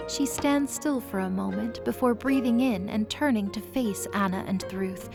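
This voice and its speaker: A woman's voice: medium pitch, normal speed, vocal fry, over music. A woman narrator reading scripted story narration for an audio drama.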